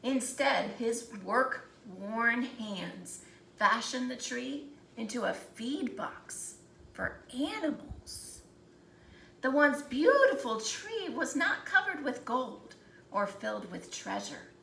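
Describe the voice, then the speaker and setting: Slow, highly expressive woman reading a storybook. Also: vocal fry. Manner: deliberate, dramatic phrasing. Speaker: storybook reader. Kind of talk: expressive children's read-aloud narration. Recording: roomy echo, faint background noise.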